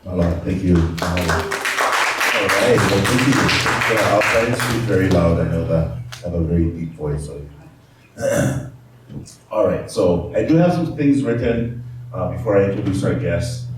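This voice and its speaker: A man in his fifties moderating a panel, reading prepared remarks with halting pauses and frequent fillers. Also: sits low. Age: 50s. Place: community arts center event room. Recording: echoey room, distant mic, faint noise.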